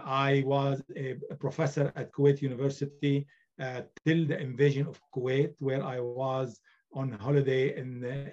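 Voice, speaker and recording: A man's voice: mid-range voice, darkish tone. An older man with a Lebanese accent tells his story over a video call. He speaks earnestly and halts often with fillers. The laptop audio sounds dull and lo-fi. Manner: earnest, halting with many fillers. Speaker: researcher guest. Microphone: laptop mic on video call.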